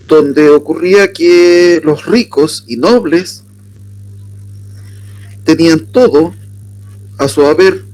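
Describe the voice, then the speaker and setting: A man's voice: fairly high pitch, darkish tone, rough recording. Chilean man lecturing, faint hum. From southern Chile. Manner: asks the audience questions, unhurried explaining. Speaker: workshop lecturer. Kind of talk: lecture on surnames.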